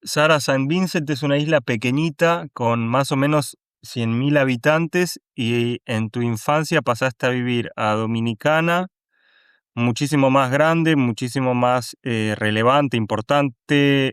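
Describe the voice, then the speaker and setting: A man's voice: mid-range voice, measured pace, a bit bright, clean tone, no echo, clean studio sound. A man, hosting an interview and asking questions with hesitant, restarting phrasing. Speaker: podcast host.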